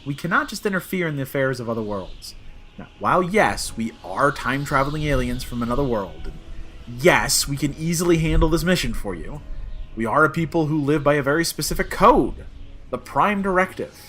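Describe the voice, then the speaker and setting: A man plays an excitable alien time traveler in a comedy audio drama. His voice is highish and bright, swinging widely as he blusters theatrically and gets exasperated. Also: brisk, faint music behind. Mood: mock-pompous. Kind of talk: comic audio drama acting.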